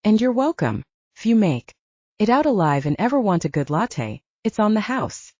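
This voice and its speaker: A woman's voice: mid-range voice, sing-song, moderate pace, a little mellow, no echo, dull audio, pitched above the performer's own voice. A woman voicing a blunt, snappy, wry female werewolf beta in an audiobook. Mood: wry, teasing. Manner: snappy, wry, humorless joking.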